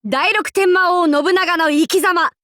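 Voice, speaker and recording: A woman's voice: very high voice, steady tone, slow, a bit bright, no echo, clean studio sound, full character voice. An actress playing a boastful young female demon-king warlord in an anime game, with archaic lordly speech that flips between haughty and goofy. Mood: triumphant, commanding. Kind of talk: Japanese anime game character voice lines.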